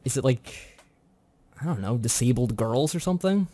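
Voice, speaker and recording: Casual man, mid-pitched quiz contestant. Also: animated, normal speed, dark tone. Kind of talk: casual chat.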